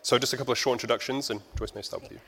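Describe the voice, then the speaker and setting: A man moderating a panel discussion on stage, brisk and sometimes restarting his sentences. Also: mid-range voice, fairly even intonation, a bit bright, husky. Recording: hall reverb.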